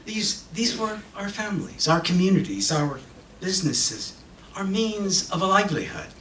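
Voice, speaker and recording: A middle-aged man giving an expressive, emphatic dramatic reading with deliberate pauses, moving between two languages, at a microphone on a stage. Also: high voice. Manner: switches between English and Spanish. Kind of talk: theatrical dramatic monologue read from a script. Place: theater stage at a podium. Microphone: microphone on a stand. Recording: echoey hall from far off, faint noise.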